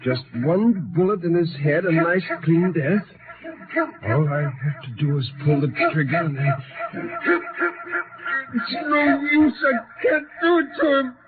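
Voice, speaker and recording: A man's voice: fairly high pitch, sing-song, measured pace. An actor playing a bitter, resolute renegade spaceman in a 1950s radio drama, speaking in brooding, idealistic tones. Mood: anguished. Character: bitter, resolute renegade spaceman mourning dead Martian civilization. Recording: tinny, noisy 1950s radio recording.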